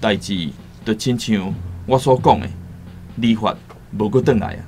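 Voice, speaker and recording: A Taiwanese-accented actor playing a cautious, worldly returned seafarer in a radio drama, solemn and deliberate. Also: male voice, on the low side, close to an everyday voice. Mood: grave, cautious. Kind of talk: radio drama acting with first-person storytelling. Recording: music behind the voice.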